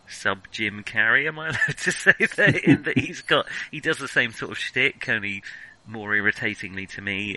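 A man's voice: on the low side, sharp tone, low-quality recording. A man co-hosting a film discussion podcast, speaking casually and opinionatedly, often stopping to rethink and correct himself. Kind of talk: casual film criticism chat.

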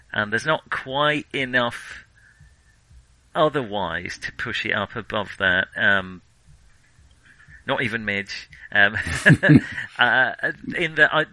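A man's voice: medium pitch, animated, slow pace, bright, forceful tone, no room sound, rough recording. A man co-hosting a casual film discussion, hesitant as he thinks his way aloud through his opinions. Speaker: film podcast co-host.

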